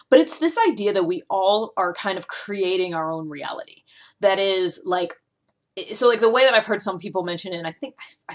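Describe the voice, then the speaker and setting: A woman hosting a video series, talking casually and explaining ideas in an animated, conversational way with emphatic asides. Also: lowish voice. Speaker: host of a personality-typing video series. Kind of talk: casual explanatory monologue to camera.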